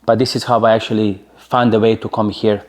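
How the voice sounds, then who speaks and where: Slovenian-accented man in his forties, lowish voice, loose chatty storyteller who leans on "right?", interview guest. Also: flat delivery, a little fast. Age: 40s. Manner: chatty, many fillers, keeps asking "right?". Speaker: interview guest, former soccer player.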